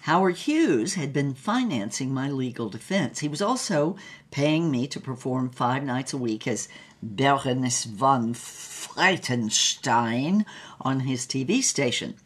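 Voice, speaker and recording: Audio drama: aging noir diva, very deep and raspy, blunt, wry, slangy. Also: female voice, heavily acted. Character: brassy old former film noir screen queen. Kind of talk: conversational storytelling in an audio drama.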